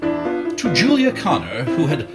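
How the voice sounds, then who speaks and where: Man as animated, ominous storyteller over guitar. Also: fairly high pitch, slow pace, heavily acted. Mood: ominous, intrigued. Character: theatrical storyteller narrating a dark, seductive period tale. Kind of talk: scripted dramatic narration. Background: loud plucked guitar music.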